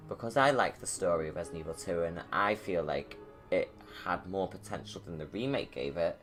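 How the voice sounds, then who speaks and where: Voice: low voice, animated, fairly quick, fairly bright tone. A video creator giving casual, rambling commentary on a film in an enthusiastic, gushing fan's manner. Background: music.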